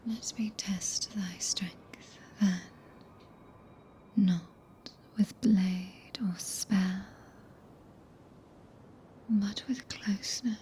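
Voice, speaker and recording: A woman with a British accent playing a tender, possessive, regal witch in a soft breathy ASMR whisper, with archaic, stately phrasing. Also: medium pitch, steady tone, extremely slow, pauses often, slight background noise. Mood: tender, commanding. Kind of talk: ASMR roleplay. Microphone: close binaural microphone.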